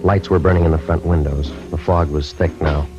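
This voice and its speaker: A 50s radio cop, american, really deep voice, flat deadpan and businesslike, muffled old recording. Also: male voice, brisk. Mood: dry, probing. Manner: deadpan, terse, matter-of-fact. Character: dry, businesslike male police detective narrating his case. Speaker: detective and narrator. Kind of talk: 1950s radio police drama, clipped procedural narration. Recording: dull 1950s radio recording with music.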